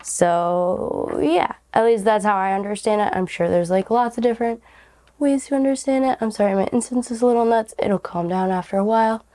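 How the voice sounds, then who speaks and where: A young woman with a raspy, hoarse voice from a cold leads a casual tarot reading. Her tone is mellow and warm. She rambles in a giggly way, filling her pauses with "like" and "um". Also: mid-range voice. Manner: rambling, giggly, many fillers like "like" and "um". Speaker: tarot reader, channel host.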